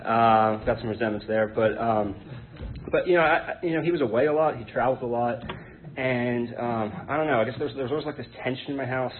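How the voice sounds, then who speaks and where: An American man in his thirties speaking informally and haltingly, giving a personal testimony to a group. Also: mid-range voice. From born in Hong Kong to an English identity, raised in Connecticut, United States. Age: 30s. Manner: hesitant, halting, rambling. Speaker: guest speaker at an Alcoholics Anonymous meeting. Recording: tinny phone-like band, noisy background.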